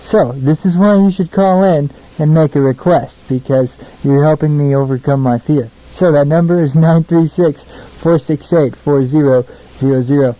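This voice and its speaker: A man's voice: on the high side, moderate pace, mellow, no room sound, poor audio. A young American man hosting a casual radio show, chatty, informal and self-deprecating between songs. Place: small radio studio, alone.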